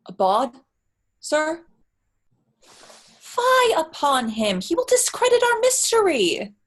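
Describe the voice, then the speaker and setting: This is a self-important stage executioner acted by a woman, indignant about the honour of his trade. Delivery is slow and exclamatory, with huge swings of pitch in comic Shakespearean style. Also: wildly swooping pitch, fairly bright tone, full character voice.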